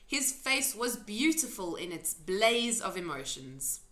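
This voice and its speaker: A woman's voice: mid-range voice, very animated, takes it slowly, a bit bright, roomy sound. A woman narrating a children's story expressively, as an amateur reader.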